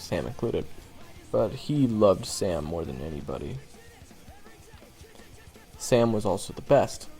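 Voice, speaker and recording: A man playing a jaded, sardonic monster hunter in his early twenties, telling his story in a casual, wry, halting way for an audio roleplay. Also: lowish voice, vocal fry, darkish tone. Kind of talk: audio roleplay, conversational first-person storytelling.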